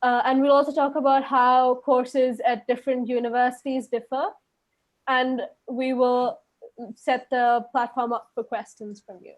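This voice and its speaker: A woman's voice: sits high, level tone, measured pace. Young Indian woman presenting in a webinar. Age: young adult. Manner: hesitant, many fillers. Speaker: student panelist.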